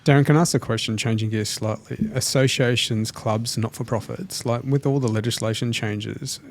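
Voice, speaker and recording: A man's voice: medium pitch, steady tone, normal speed, slight background noise. A man co-hosting a podcast, asking questions in a casual interview style. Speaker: podcast co-host.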